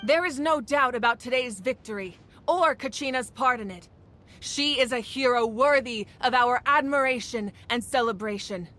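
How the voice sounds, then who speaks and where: A woman voicing a composed, authoritative female ruler in a video game, speaking with measured calm. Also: fairly high pitch, slight background noise. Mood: firm. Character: commanding yet gentle female ruler of a nation. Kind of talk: game voice acting.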